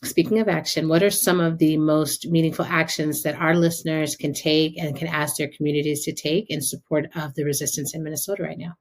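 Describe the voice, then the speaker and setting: A woman's voice: sits low, steady tone, fairly quick, hardly any pauses. An American woman hosting a conversational interview, speaking informally and reflectively as she thinks aloud. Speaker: podcast host and interviewer.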